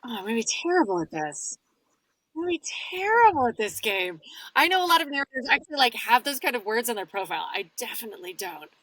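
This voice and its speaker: A woman's voice: on the high side, sing-song, fast, a bit bright, dry recording, rough recording. A woman who works as an audiobook narrator, chatting casually as an interview guest in a candid, easygoing, self-deprecating way. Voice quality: husky.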